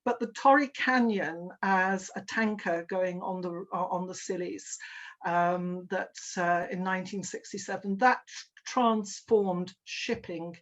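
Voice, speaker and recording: A middle-aged woman giving an online presentation, halting and full of fillers and restarts. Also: fairly low pitch, fairly even intonation. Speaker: webinar presenter. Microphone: video call.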